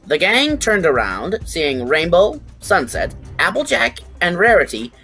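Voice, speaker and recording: Woman reading fanfic narration, low voice, really animated, bit of music under it. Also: unhurried. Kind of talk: scripted fan fiction narration. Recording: faint music behind the voice.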